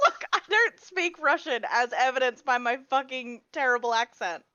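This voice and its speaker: A woman playing in a tabletop game, making quick joking remarks in casual banter. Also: sits high, expressive, bright, forceful tone, no echo, lo-fi sound. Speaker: player.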